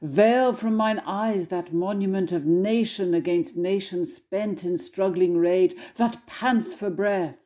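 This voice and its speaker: Mellow-voiced woman reading in scholarly style. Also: medium pitch, takes it slowly, hardly any pauses. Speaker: scholar and poetry reader. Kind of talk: scholarly introduction and poetry reading.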